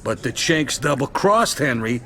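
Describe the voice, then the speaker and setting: Streetwise mid-pitched American mafia lieutenant. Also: male voice, expressive, unhurried, bright, forceful tone. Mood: grave, probing. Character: wary, profane middle-aged male mafia lieutenant. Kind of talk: dramatic video game cutscene acting.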